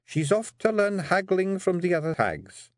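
Male reader as young Scottish fairy matriarch: high, sweetly pointed, firm, bossy, storybook fantasy. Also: big pitch swings, normal speed, no echo, clean studio sound. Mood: firm, resolute. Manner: deceptively meek and sweet, pointed, controlled. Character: quietly dangerous young fairy queen, firm bossy wife. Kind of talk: audiobook character voice.

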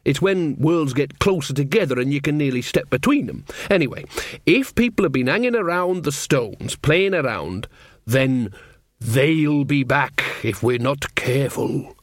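A man voicing an evasive, exasperated old country mother, rambling and halting in an audiobook. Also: medium pitch, lively intonation, no echo, clean studio sound. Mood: hesitant, explaining. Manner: vague, halting, rustic grammar. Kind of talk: audiobook character acting.

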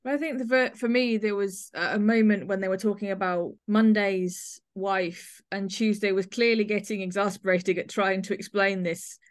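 A woman's voice: medium pitch, fairly even intonation, normal speed, hardly any pauses. A woman hosting a podcast in a conversational way, discussing a play with a guest. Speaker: podcast host.

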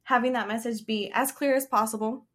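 A woman's voice: on the high side, fairly even intonation, a little fast, a bit bright. A woman co-hosting a casual podcast chat, explaining dog training in a confident way and laughing now and then.

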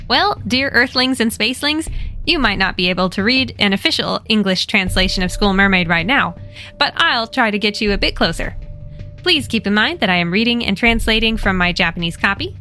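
An American woman hosting a story podcast, retelling a horror manga in a lively, expressive and wry way. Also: mid-range voice, moderate pace, faint music behind. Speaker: podcast host and narrator.

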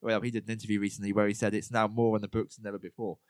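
A young man with a lowish voice co-hosts a casual podcast chat. He rambles, leaning on "like" and "you know" as he goes. The sound is dry and close. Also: little pitch movement, racing, no echo. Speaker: podcast co-host.

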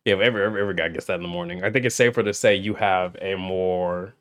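A man's voice: low-pitched, fairly even intonation, fast, fairly bright tone. A man hosting a podcast, chatting casually with a guest and laughing often. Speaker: podcast host.

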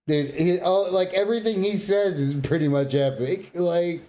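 A man's voice: sits high, moderate pace, dark tone. A man co-hosting a podcast, talking casually about games in an effusive, slangy way. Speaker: podcast co-host. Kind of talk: casual gaming chat.

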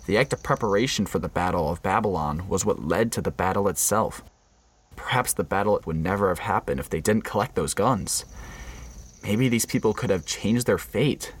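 An actor playing a somber, haunted survivor, narrating a scripted radio drama. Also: male voice, sits low. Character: somber, haunted male survivor recounting the siege.

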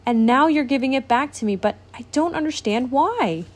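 A woman's voice: sits high, expressive, moderate pace, voice pushed up. A woman voicing an earnest young boy who asks eager, polite questions in a raised voice.

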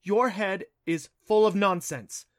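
A man voicing a scolding mother, raised and pitched up. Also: takes it slowly. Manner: scolding, exasperated. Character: stern worried mother archaeologist, middle-aged woman.

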